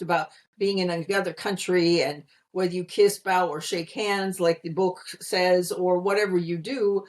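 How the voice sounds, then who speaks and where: A middle-aged woman from Pennsylvania with an American accent, a guest explaining her work in an articulate, friendly way over a video call. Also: fairly low pitch. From Pennsylvania, USA. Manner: articulate, explanatory, light laughs. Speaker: guest, communication consultant. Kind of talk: conversational interview answer.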